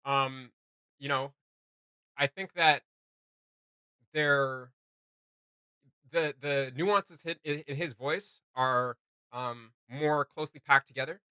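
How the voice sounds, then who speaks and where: A man hosting a casual reaction video, giving gushing, slangy commentary in a halting flow. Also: mid-range voice, pushed and bright, clear, resonant tone, no echo.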